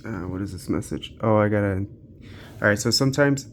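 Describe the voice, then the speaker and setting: A man's voice: lowish voice, fairly quick, a little hiss or hum. A man giving an unscripted, casual tech walkthrough, thinking aloud informally as he tests games on a handheld. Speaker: tech reviewer hosting a handheld gaming channel.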